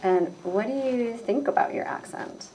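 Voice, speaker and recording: A woman interviewer asking questions slowly and with hesitation. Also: fairly high pitch, dark tone, slight background noise, poor audio.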